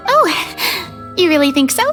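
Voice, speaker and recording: High-voiced, spirited young adventurer. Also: female voice, slow, faint music behind, full character voice. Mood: fascinated. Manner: expressive, playful. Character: spirited, curious young female adventurer, easily excited. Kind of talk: video game voice acting.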